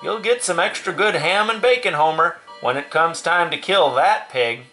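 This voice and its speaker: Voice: medium pitch, moderate pace, sharp tone, faint music behind, pitched above the performer's own voice. A storybook narrator voicing a hearty, matter-of-fact farmer father. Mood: admiring, frank.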